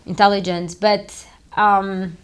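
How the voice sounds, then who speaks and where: A woman's voice: medium pitch, little pitch movement, takes it slowly, clear, resonant tone. A woman in her thirties from Romania, the founder of a startup, answering interview questions in a loose, rambling way with many "um"s and "like"s, in an office.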